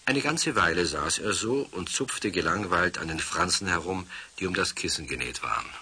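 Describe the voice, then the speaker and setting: A man's voice: deep voice, lively intonation, moderate pace, pushed and bright, breathy edge. A man narrating a scripted children's story in a wry storytelling manner.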